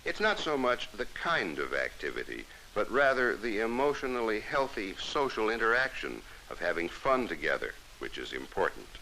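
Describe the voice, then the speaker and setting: A middle-aged American man narrating a scripted 1960s educational film in a measured, formal, instructive manner, on an old film soundtrack. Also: on the low side, huge pitch swings, sharp tone, slight background noise, rough recording.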